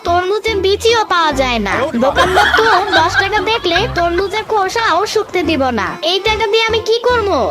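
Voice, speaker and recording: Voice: very high voice, a little fast, hardly any pauses. A performer voicing a cheeky, whiny young village boy in a cartoon, complaining dramatically with a Bangladeshi accent. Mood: pleading, cheeky. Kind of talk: Bengali cartoon voice-over. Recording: loud music behind the voice.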